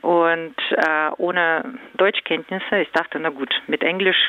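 A woman's voice: sits low, fairly even intonation, slow pace, dry recording. A woman from Ukraine with a Ukrainian accent, calling a radio show and hesitantly searching for German words. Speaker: radio caller. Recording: tinny phone line.

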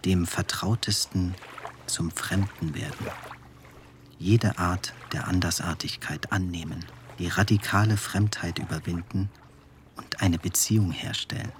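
An actor performing a solemn male voice that recites poetic philosophical fragments in a measured, deliberate way for a radio play. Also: deep voice, fairly even intonation. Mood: solemn, contemplative. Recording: loud watery ambient noise behind.

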